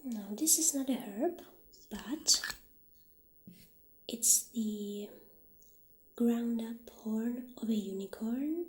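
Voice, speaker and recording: Female, high soft voice, slow and hushed with whispering, ASMR witch-shop roleplay, slight noise and clicks in recording. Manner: gentle, hushed, unhurried, addressing the listener as a customer. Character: soft-spoken witch shopkeeper, calm and obliging. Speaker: ASMR roleplay performer playing a shopkeeper.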